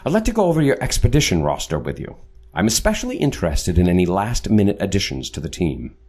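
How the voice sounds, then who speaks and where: An actor playing a self-assured, punctual leader of secret agents in an audio drama, formal and commanding. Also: male voice, low voice, big pitch swings, fast, darkish tone, husky, exaggerated voice. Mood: calm, assured.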